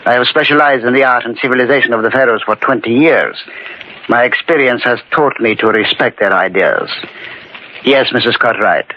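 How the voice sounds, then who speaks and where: An actor playing a polite, grave dealer in antiquities, measured and ominous, in a 1940s radio mystery. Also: male voice, mid-range voice, close to an everyday voice. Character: grave, deferential antiquities dealer who believes in curses. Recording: muffled, noisy 1940s radio recording.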